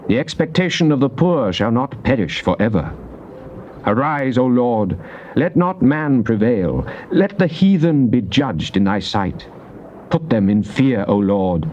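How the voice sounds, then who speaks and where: A man's voice: medium pitch, expressive, dark tone, close to an everyday voice. Casting: male actor for British psalmist in Bible drama, grave, declamatory, fervent in prayer; muffled tape with faint noise. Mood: imploring, stern. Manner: solemn, declamatory, deliberate. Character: psalmist, fervent man praying and praising God. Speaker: scripture reader. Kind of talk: dramatized King James Bible reading. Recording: dull, noisy cassette tape transfer.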